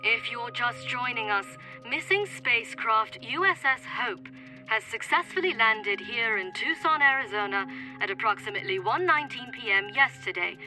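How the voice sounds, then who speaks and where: An actress in an audio drama playing a brisk female television reporter delivering breaking news with urgency. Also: fairly high pitch, bright, forceful tone, poor audio.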